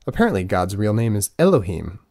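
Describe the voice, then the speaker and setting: A man's voice: on the low side, big pitch swings, quick pace, a little mellow, dry recording, clean studio sound. A man in his twenties reading his own audiobook in a wry, self-mocking manner. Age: 20s. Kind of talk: scripted audiobook narration.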